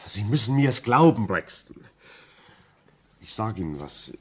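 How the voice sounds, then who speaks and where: An actor playing a rational, skeptical gentleman visitor in a 1950s radio drama, questioning and insistently reassuring in an emphatic manner. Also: on the low side, very animated, measured pace, slightly rough, heavily acted. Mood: insistent reassurance.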